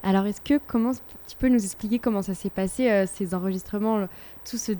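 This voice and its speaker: Soft mellow female interviewer. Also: medium pitch, brisk. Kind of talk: conversational interview questions.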